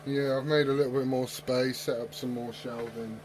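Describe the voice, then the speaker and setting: A man's voice: medium pitch, level tone, moderate pace. A man chatting casually over CB radio, joking and swearing freely. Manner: storytelling with tall tales. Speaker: CB radio operator. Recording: very noisy CB radio with clicks.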